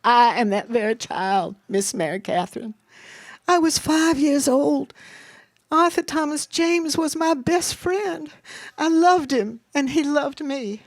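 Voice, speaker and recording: A woman's voice: a little high, moderate pace, no room sound, pitched above the performer's own voice. A woman voicing a remorseful, gin-drinking mother, blubbering and overwrought. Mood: tearful remorse.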